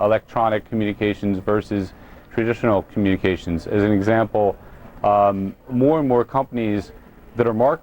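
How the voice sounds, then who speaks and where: A man with an American accent answering as an executive guest in a news interview, explaining things in a hesitant, halting way. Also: fairly low pitch, a little mellow. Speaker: company president, interview guest.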